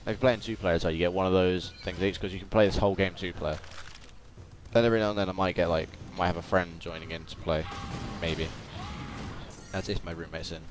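A man's voice: low-pitched, steady tone, a little fast. A young man giving casual let's play commentary, chatty and jokey as he talks over the game. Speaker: gaming video creator. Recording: game music and doubled game audio behind. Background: video game music and sounds.

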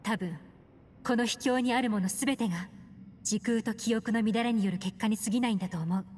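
Composed, enigmatic game heroine, acted by a woman: slightly high voice, measured delivery, reverberant sound. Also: a little mellow, close to an everyday voice. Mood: pensive. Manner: poised, measured, feminine phrasing. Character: cool, composed, mysterious adult woman adventurer. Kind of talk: Japanese anime-style game voice acting. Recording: long reverb, music underneath.

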